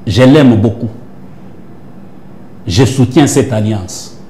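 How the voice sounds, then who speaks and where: A man's voice: mid-range voice, slow, dark tone. A man, a church prophet answering interview questions with an emphatic, preaching cadence. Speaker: prophet and church leader, guest.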